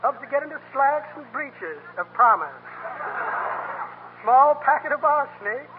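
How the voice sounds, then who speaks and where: A man's voice: very high-pitched, sing-song, measured pace, exaggerated voice. An actor playing a roguish, breezy windbag con man in a 1930s radio comedy, with pompous, flowery, rambling patter. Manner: rambling, flowery patter while listing pocket contents. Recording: noisy, tinny 1930s radio broadcast.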